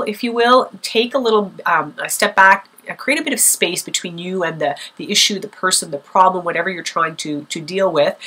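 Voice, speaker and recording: Bright-voiced woman vlogger with a cold. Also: lowish voice. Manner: relaxed, thoughtful, many fillers. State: has a cold, sniffling. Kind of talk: casual talk to camera.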